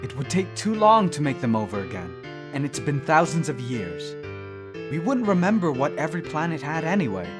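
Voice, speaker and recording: A man's voice: fairly high pitch, sing-song, brisk. A man playing a smug, teasing immortal thousands of years old in an audio drama, with a sardonic, needling manner. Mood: wry. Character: teasing three-thousand-year-old dream maker, smug male immortal. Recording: voice under plucked guitar music.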